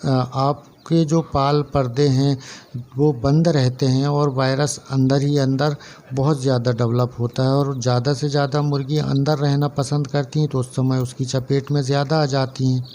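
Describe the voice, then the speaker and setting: A man's voice: medium pitch, little pitch movement, moderate pace, darkish tone, slight background noise. A man who hosts a poultry farming channel, speaking casually and earnestly to his viewers, explaining step by step and listing items one after another. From central India. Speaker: poultry farmer and channel host. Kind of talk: casual instructional talk to camera.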